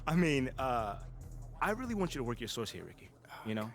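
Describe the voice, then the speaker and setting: Animated young male basketball star. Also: mid-range voice, brisk, faint music behind. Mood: cajoling. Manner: casual, slangy, hesitant asides. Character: confident young pro basketball player, slangy and laid-back. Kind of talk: naturalistic video game cutscene acting.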